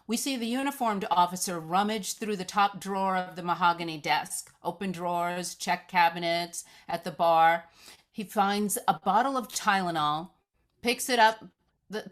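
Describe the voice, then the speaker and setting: A woman serves as narrator at a live script reading. Her medium-pitched voice delivers stage directions descriptively, with the odd stumble over the written text. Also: fairly bright tone.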